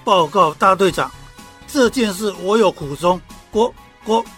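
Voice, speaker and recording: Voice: medium pitch, expressive, a little slow, a bit bright, soft background music. An actor playing a dutiful, timid frog squad leader in a children's radio drama, polite and apologetic. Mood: flustered. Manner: polite, apologetic, ending lines with a croak word.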